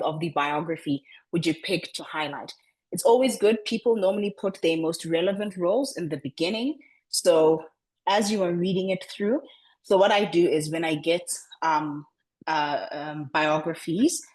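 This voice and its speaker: A woman coaching public speaking over a video call, talking in a deliberately lowered register and often repeating words as she restarts. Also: a little mellow, rough recording. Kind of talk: online coaching session.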